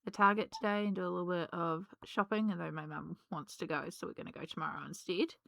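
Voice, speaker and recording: A woman, slightly hoarse from a cold, chatting casually and rambling with many ums while she colours. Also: lowish voice, fairly even intonation, fast talker, fairly bright tone, no room sound. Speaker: colouring vlogger.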